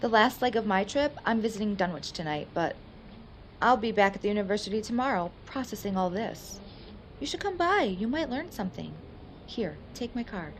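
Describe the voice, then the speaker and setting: A woman's voice: mid-range voice, animated, normal speed, a little hiss or hum, close to an everyday voice. A woman acting as a skeptical, prim university academic in a radio play, with a firm, articulate, lecturing manner. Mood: polite.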